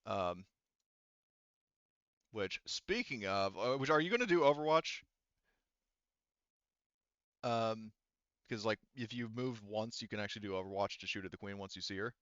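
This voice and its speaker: A man with a clear, smooth voice runs a tabletop game as game master. He talks casually and haltingly as he works out the rules. The sound is very dry and slightly dull. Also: fairly low pitch, expressive, a bit bright, no echo. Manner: halting, thinking aloud, casually profane.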